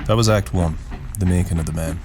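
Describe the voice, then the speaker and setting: A man narrating a scripted dramatic story in a solemn, poetic, deliberate way. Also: low voice, a little mellow. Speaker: narrator, host of a story series. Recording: music bed under the voice. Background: music.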